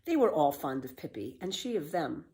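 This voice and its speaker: A woman reading a children's book aloud as a storyteller, with expressive, emphatic scripted narration. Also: deep voice, normal speed.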